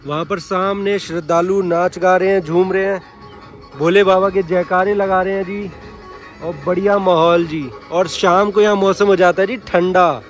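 A man's voice: high voice, steady tone, moderate pace, faint music behind. A man vlogging a road trip, chatting casually to viewers while filming outdoors and around a rest house. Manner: chatty, keeps saying "so ji" and "dekh sakte ho". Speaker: travel vlogger. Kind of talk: handheld travel vlog narration.

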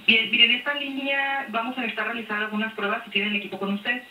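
A woman's voice: a little high, level tone, fast, sharp tone. A woman speaking politely and formally as a technical support agent on the phone. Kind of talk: formal customer service phone call. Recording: distant echoey phone line with noise.

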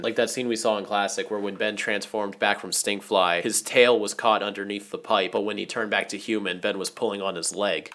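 Man, deep voice, informal fan commentary, opinionated, video reviewer. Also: a bit bright. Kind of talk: casual episode breakdown commentary.

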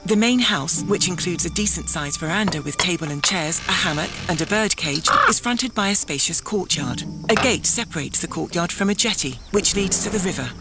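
A woman's voice: lowish voice, expressive, a little fast, hardly any pauses, loud music behind the voice. A woman narrator reading scripted, present-tense scene descriptions for an audio drama.

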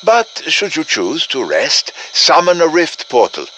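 An actor playing an urgent, commanding professor who briefs soldiers in a deliberate, dramatic manner, as video game voice lines. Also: male voice, fairly high pitch, wildly swooping pitch, pushed and bright, a little hiss or hum, heavily acted. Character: urgent, commanding male professor guiding soldiers against demons. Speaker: game character voice, mission guide.

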